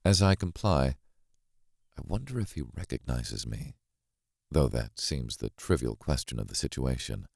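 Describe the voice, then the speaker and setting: A man with an extremely low voice reads a thriller audiobook. His delivery is deliberate, brooding and dramatic, and the sound is dry and close. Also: expressive, gravelly, darkish tone, no echo. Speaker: audiobook narrator. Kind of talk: first-person dramatic scripted narration of a thriller.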